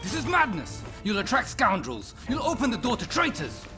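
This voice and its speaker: An actor playing an agitated, indignant retainer in a video game cutscene, protesting over loud music. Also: male voice, extremely high pitch, huge pitch swings, moderate pace, exaggerated voice. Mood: alarmed protest. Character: agitated male shogunate retainer, indignant and alarmist.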